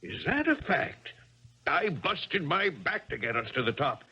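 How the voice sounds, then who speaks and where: A husky, bullying tennis dad. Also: male voice, fairly high pitch, big pitch swings, measured pace, pushed and bright, full character voice. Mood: scornful, bullying. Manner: blunt, sarcastic, streetwise. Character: gruff, bullying father and coach of a tennis star. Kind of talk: 1970s radio drama acting. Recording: dull old radio broadcast recording.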